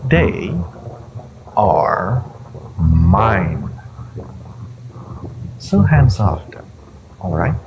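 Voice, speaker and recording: A man playing an ancient, possessive hive-mind entity in an audio drama, condescending and theatrical, with amused menace and a low, creaky voice. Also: sing-song, highs cut off, background noise, full character voice. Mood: possessive, menacing. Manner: theatrical, condescending, halting with drawn-out menace and chuckles. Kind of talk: scripted audio roleplay addressed to the listener.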